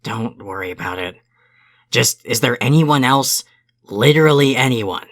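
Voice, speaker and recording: Gruff, creaky young male cadet , man performer, irritable and sarcastic audio-drama role. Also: medium pitch, lively intonation, unhurried, fairly bright tone. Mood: reluctant. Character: grumpy, foul-mouthed, secretly caring young male alien cadet. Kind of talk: fan audio drama character acting.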